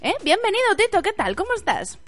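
A woman from Spain hosting a casual radio chat show, hoarse from a sore throat and playful, often laughing. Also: very high voice, very animated, fast, fairly bright tone, soft background music. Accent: Spanish from Spain. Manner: playful, giggly, chatty. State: hoarse from pharyngitis. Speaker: podcast host.

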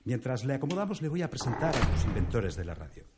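A man's voice: medium pitch, sing-song, fast, heavy background noise, close to an everyday voice. A man playing a bossy, weary gatekeeper of heaven in a comic radio drama. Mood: welcoming.

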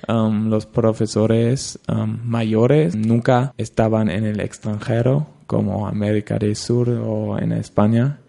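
Young german guy, super gravelly low voice, slow and halting, lots of ums. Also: little pitch movement, warm tone. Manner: hesitant, searching for words with many ums. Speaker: interviewee, intern.

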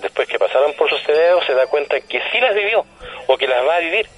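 A man's voice: a little high, expressive, fast, fairly bright tone. Chilean man explaining on dull radio line. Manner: didactic, asks rhetorical questions and checks understanding. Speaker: radio guest, paranormal commentator. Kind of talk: explanatory radio interview conversation.

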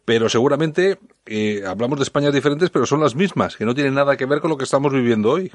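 A man's voice: medium pitch, lively intonation, fast, dry recording, clean studio sound. A man in his fifties from Bilbao hosting a talk radio discussion, rambling and correcting himself as he goes. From Bilbao, Spain. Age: 50s. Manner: rambling, self-correcting, laughs easily. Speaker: host.

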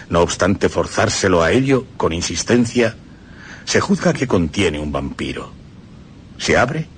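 Low-voiced grave Spanish male reader. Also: slight background noise. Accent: Spain Spanish. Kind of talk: dramatic radio reading.

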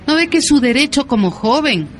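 A woman's voice: sits high, sing-song, fairly quick. A woman with an Ecuadorian accent playing a protective, emphatic mother in a radio soap opera. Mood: indignant. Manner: emphatic, insistent. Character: protective, indignant mother of a teenage girl. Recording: noisy background.

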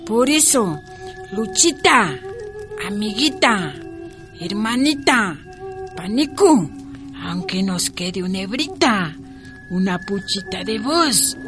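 Frail old Andean woman, slow and tender. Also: mid-range voice, big pitch swings, over music. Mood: earnest, urging. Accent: Ecuadorian Andean. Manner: slow, affectionate, pleading, full of diminutives. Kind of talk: radio drama acting.

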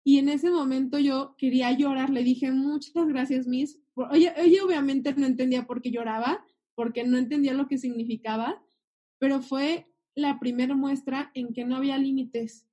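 A woman's voice: high-pitched, steady tone, normal speed, mellow. A young woman sharing a heartfelt personal faith testimony as a guest. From Mexico. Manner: earnest, heartfelt storytelling.

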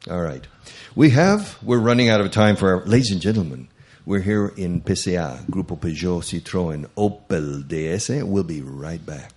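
A man's voice: low-pitched, animated, normal speed, few pauses, darkish tone, slightly rough. A male radio host conducting a casual interview, chatty and laughing often, dropping in Spanish phrases.

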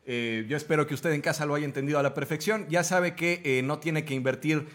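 A man co-hosting a comedy podcast, mock anchoring with loud, filler-heavy delivery. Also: on the high side, fairly quick. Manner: loud, hesitant, full of fillers.

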